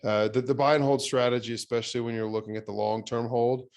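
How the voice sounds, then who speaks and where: A man hosting a real estate podcast interview, talking in a relaxed way with many fillers and restarts. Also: medium pitch, quick pace. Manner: thinks aloud with fillers and restarts. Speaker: podcast host. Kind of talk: conversational interview.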